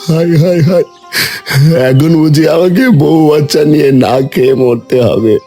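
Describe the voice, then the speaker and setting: A man's voice: a little high, normal speed, dark tone, soft background music. A man voicing a middle-aged village hotel owner in a cartoon, stammering and lamenting his ruin.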